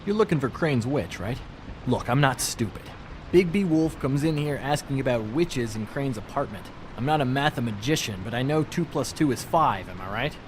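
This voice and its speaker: An actor playing a slippery small-time thief in a video game, talking in glib, ingratiating, hedging patter. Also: male voice, mid-range voice, expressive, normal speed, noisy background, lightly acted. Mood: sly bargaining.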